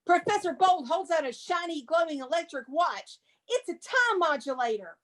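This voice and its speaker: High-pitched woman narrating stage directions. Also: big pitch swings, normal speed, fairly bright tone. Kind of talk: dramatic script reading with casual improvised asides.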